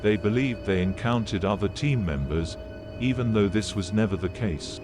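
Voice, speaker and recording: A man reading scripted documentary-style narration in a serious, measured, formal and matter-of-fact way. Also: lowish voice. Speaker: narrator of an analog horror video. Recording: played video with background music. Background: music.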